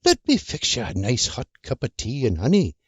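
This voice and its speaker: A narrator voicing a bossy young farm wife who fusses and scolds with a sharp tongue. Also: female voice, extremely low pitch, huge pitch swings, normal speed, breathy edge, no echo, dull audio, heavily acted. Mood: fussing.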